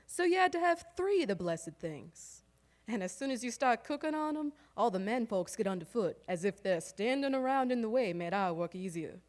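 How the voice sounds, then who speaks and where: A woman's voice: on the high side, sing-song, moderate pace, a little mellow. A woman playing a harried, proud old-time household woman, telling a wry, emphatic theatrical monologue that lingers over its lists. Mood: wry, exasperated. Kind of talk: theatrical storytelling monologue on stage. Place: live stage performance in a recreation hall.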